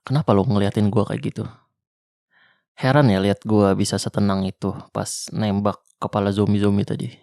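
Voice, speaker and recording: Man playing a gravelly, protective zombie-apocalypse survivor. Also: on the low side, little pitch movement, normal speed, dry recording, clean studio sound. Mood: gentle teasing. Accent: Jakarta colloquial. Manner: soft, teasing, reassuring. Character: tough male ex-special-forces zombie survivor, protective, flirty. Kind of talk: ASMR roleplay.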